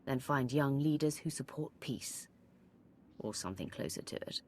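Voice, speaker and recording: Steady woman commander, very low voice, measured, faint noise. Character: principled female warrior commander.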